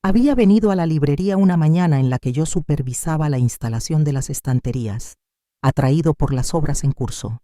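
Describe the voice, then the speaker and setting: Narrator, sex ambiguous, mid-pitched and deeply mellow; measured, sentimental first-person storytelling. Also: no room sound, clean studio sound.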